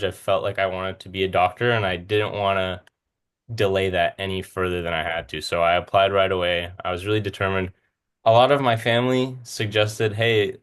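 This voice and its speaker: Low-pitched young Californian man, casual presenter. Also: clear, resonant tone. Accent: Californian American. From Southern California, United States. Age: 20s. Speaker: student speaker, medical school applicant. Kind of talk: informal slide presentation.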